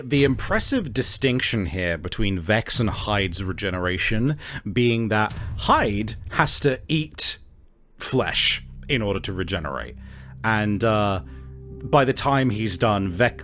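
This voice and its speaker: A male game master with a lively, swinging pitch explains and narrates a tabletop game. His delivery is informal and conversational, and faint music plays under his voice.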